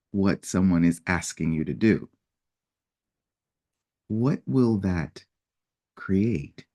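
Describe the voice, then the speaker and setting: A man speaking in an unhurried, reflective way as he tells a personal story, now and then acting out what people said. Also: low-pitched. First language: English. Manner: unhurried, reflective storytelling with lively acted-out quotes. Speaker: personal development facilitator.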